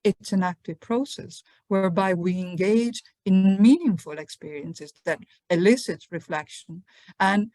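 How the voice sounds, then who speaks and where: A woman academic giving a webinar lecture on a video call, thoughtful and measured, often pausing with fillers and correcting herself. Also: mid-range voice, a little mellow, dry recording.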